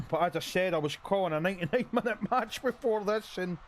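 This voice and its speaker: A man with a hoarse voice commentating a video game wrestling match and hosting his channel, with an announcer's style. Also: moderate pace, slight background noise. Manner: hype announcer, booming when calling the finish.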